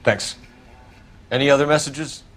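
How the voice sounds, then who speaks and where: An actor playing an earnest, hard-driving 1940s police detective in a video game, with a clipped, probing manner. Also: male voice, medium pitch, fairly even intonation, unhurried, fairly bright tone, slight background noise, natural voice. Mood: businesslike. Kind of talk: video game drama acting.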